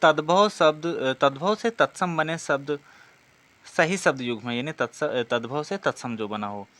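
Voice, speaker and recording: A man's voice: on the high side, unhurried, fairly bright tone. A man teaching an exam practice lesson, reading out questions and explaining the answers in a didactic way, often asking and answering himself.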